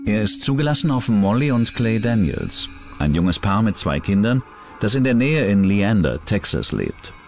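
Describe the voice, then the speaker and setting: A man narrates a true-crime documentary in a low voice with lively, varied intonation. His delivery is scripted storytelling, set over clearly audible background music. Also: normal speed.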